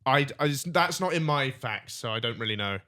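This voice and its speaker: A man's voice: mid-range voice, lively intonation, a little fast, sharp tone. A man co-hosting a casual comedy podcast, joking around and running a quiz game. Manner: jokey, runs a quiz segment. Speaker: podcast co-host.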